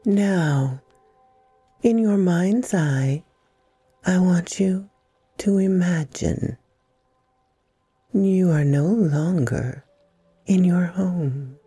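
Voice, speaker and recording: Very slow, dark, breathy low-voiced woman. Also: dry recording, soft background music. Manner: breathy, soothing, deliberate phrases with long pauses. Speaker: guided meditation host. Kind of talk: slow guided meditation narration.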